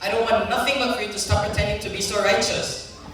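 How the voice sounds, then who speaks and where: A man's voice: high voice, steady tone, fast, bright, forceful tone. A teenage boy acting a frustrated, pushy older brother on a school stage, needling and resentful. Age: teens. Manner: needling, drawls when annoyed. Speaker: student actor. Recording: echoey hall, far from the mic, noisy.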